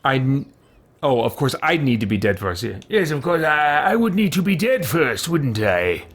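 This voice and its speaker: A man's voice: medium pitch, big pitch swings, moderate pace, fairly bright tone, slightly rough. A man hosting casual let's play commentary, thinking aloud with mild exclamations as he plays. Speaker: gaming channel host and player. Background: game music.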